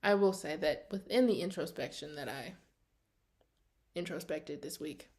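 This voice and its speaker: An American woman in her early twenties chatting casually to her vlog viewers in an animated, sassy, slangy storytelling way. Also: low voice, moderate pace. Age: early 20s. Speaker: vlogger.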